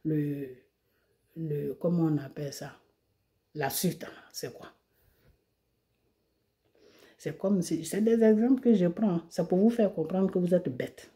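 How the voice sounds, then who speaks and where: Indignant woman with a very dark voice. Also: sits low. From Côte d'Ivoire. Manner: indignant, hammering rhetorical questions. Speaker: video creator. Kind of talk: ranting monologue to camera. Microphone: phone or camera mic.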